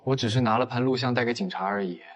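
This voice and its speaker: An actor playing a scheming young male student who feigns innocence, politely naive yet knowing, in an animated drama. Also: mid-range voice, racing, close to an everyday voice. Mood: meek, innocent. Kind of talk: Chinese animated audio drama acting.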